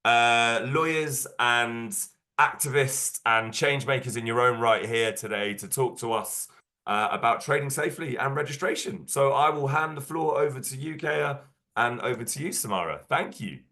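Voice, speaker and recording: A croaky man, hoarse from a cold, opens an online workshop over a video call. His voice stays bright and forward, and he talks casually, full of ums and uhs. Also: mid-range voice, moderate pace. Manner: casual, filler-heavy, upbeat. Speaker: workshop host.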